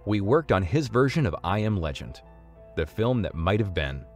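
Lively low-voiced male narrator over music. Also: moderate pace. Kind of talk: scripted documentary narration. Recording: music under the voice. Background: background music.

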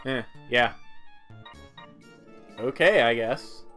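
A man's voice: medium pitch, extremely slow, fairly bright tone. A man giving casual, rambling gameplay commentary with hesitant asides, in a laid-back way. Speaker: video game player and commentator. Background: video game music.

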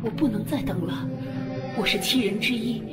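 A woman's voice: on the high side, moderate pace, lightly acted. An actress playing a frightened young woman who tells of ghostly horrors in a Chinese audio drama. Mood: distraught, fearful. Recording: music under the voice. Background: dramatic music.

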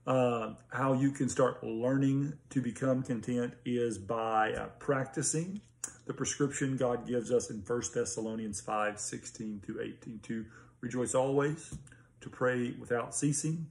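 Male pastor, thirties, American accent, mid-range voice. Earnest, teacherly, informal to-camera sermon recap with some halting pauses. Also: medium pitch, gravelly, a little mellow. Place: small home study with bookshelves, indoors. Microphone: phone or laptop at arm's length.

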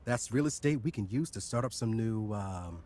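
A man's voice: fairly low pitch, lively intonation, normal speed, darkish tone, lightly acted. A man voicing an enthusiastic, scheming young crime entrepreneur in a video game, casual and eager. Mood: confident.

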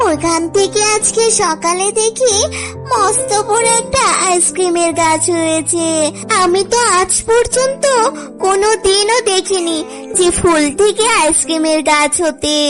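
A suspicious, scolding mother fox from a children's cartoon, voiced by a woman. She is very high-pitched and measured, giving emphatic warnings over clearly audible music.

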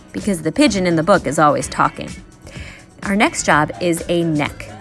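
A woman art teacher giving children an encouraging step-by-step drawing lesson in clear, simple instructions. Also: medium pitch, fairly bright tone.

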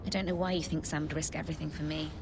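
A woman's voice: low voice, steady tone, racing, noisy background. An actress playing a jaded female celebrity in a video game, dry, sarcastic and dismissive. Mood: guarded. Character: jaded, self-absorbed female celebrity, sceptical and curt. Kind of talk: video game cutscene acting.

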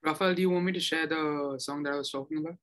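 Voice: mid-range voice, fairly even intonation, fast, fairly bright tone. A person chatting informally on a video call, introducing a song they are sharing. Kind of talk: casual video call chat.

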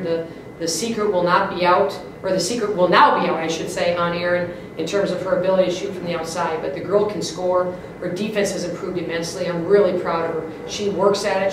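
A woman in her fifties, a basketball coach at a postgame press conference, speaking in an upbeat, emphatic way with many restarts and fillers. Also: on the low side. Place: press room, indoors. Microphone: desk mic on stand. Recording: echoey room, mic at a distance, faint hiss.

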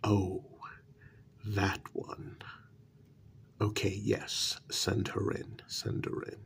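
Man acting apologetic talent agent, ASMR role-play, low soft voice, heavy vocal fry, breathy, hesitant. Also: completely flat delivery, exaggerated voice. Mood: soft, courteous. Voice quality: breathy, half-whispered, gravelly. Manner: slow, hesitant, halting. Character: apologetic, easily flustered male talent agent for supernatural creatures.

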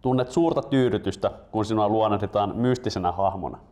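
A man's voice: on the low side, mellow. A man in his twenties hosting, moving between presenter-style announcements and casual chat. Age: 20s. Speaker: host.

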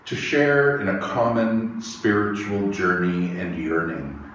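A middle-aged man, a church minister, reading prayers and reflections in a measured, reverent way. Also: sits low, fairly bright tone. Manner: slow, measured, reverent delivery. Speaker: church minister leading worship. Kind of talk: reading poetry and prayer in worship. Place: small church chapel, indoors. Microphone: lapel microphone. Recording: echoey room, distant, faint hiss.

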